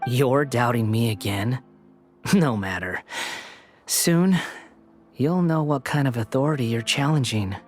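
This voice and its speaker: An actor playing an arrogant, youthful villain who thinks himself a new god, speaking in haughty, mocking game monologue. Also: male voice, mid-range voice, animated, slow pace, pauses often, soft background music. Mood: mocking, menacing.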